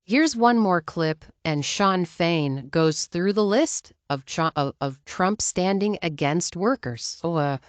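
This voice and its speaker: A woman's voice: fairly low pitch, expressive, takes it slowly, few pauses, no room sound. An American woman giving opinionated political commentary in an emphatic, conversational way, often posing rhetorical questions.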